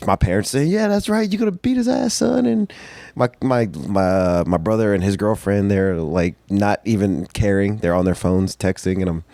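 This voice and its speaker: A soft-spoken young man who calls his own voice high-pitched, chatting casually as a podcast guest. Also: lively intonation, moderate pace, vocal fry, no room sound. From North Carolina. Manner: soft-spoken, laughs often.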